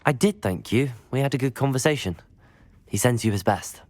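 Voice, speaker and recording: A man's voice: medium pitch, animated, a little fast, no room sound, close to an everyday voice. A young man playing a polite, helpful teenage student in a video game, speaking in a courteous, earnest way. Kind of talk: video game dialogue acting.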